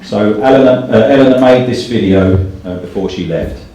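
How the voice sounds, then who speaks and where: Male event host, deep and soft-toned, earnest, slightly hesitant live speech; very reverberant room. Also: moderate pace, dull audio. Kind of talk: live introduction speech at a book launch. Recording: echoey room, distant mic, faint noise.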